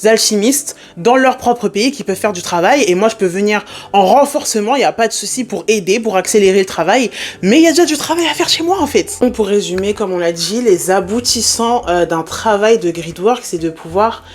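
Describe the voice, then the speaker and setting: Young French woman, casual vlog host, lively and expressive, mid pitch. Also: a little fast. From France. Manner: lively, animated, mixes in English words. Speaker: spiritual content creator. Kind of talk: casual talking-to-camera vlog.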